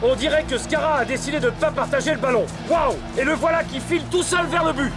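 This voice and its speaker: A man voicing an excitable, emphatic male football commentator in an animated series. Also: extremely high pitch, fast. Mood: excited. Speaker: sports commentator. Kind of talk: cartoon match commentary. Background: music.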